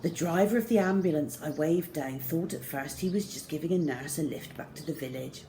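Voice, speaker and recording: Middle-aged woman, low-pitched, slow storytelling, children's book reading, some background noise. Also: dark tone. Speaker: storyteller for schoolchildren. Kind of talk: reading a children's novel aloud. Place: small plain room indoors.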